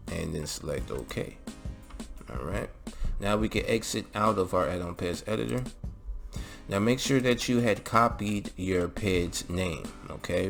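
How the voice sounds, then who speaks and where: Low, gravelly man walking through steps. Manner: instructional, often checks in with "all right" and "okay". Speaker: video host giving mod installation tutorial. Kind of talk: casual step-by-step screen tutorial narration.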